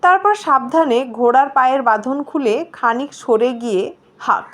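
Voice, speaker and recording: A woman's voice: sits high, lively intonation, moderate pace, a bit bright. A woman reading a story aloud as scripted narration. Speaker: story reader.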